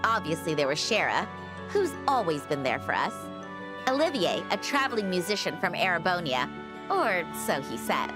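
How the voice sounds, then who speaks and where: An actress voicing a cheerful, determined teenage girl heroine in a game, recapping her journey with lively delivery over music. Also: lowish voice, moderate pace. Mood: upbeat. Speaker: game character narrating a recap. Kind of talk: scripted game voice acting. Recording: game audio with music underneath.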